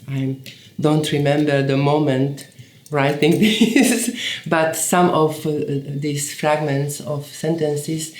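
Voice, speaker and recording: Slow, halting older woman in a roomy space. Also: deep voice, darkish tone. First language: Hungarian. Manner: halting, searching for words, with restarts. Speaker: poet. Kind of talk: reflective documentary interview. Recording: roomy echoey sound.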